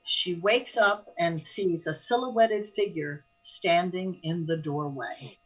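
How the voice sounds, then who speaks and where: A woman's voice: on the low side, takes it slowly, husky, dull audio. A woman reading scripted narration for a radio drama, describing the action as narrator.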